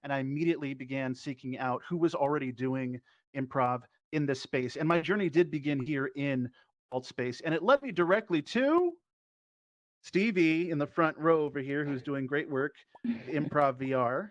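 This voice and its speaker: A man's voice: on the high side, moderate pace, no room sound. A man who performs and teaches improv, explaining conversationally as a panelist in an online discussion. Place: virtual reality social platform meetup, online. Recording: dull lo-fi online voice chat.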